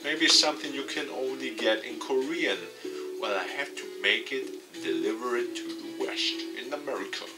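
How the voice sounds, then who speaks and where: A man reading a visual novel game aloud with commentary for his video, in a halting, stumbling delivery. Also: medium pitch, distant mic. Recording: off-mic, music behind.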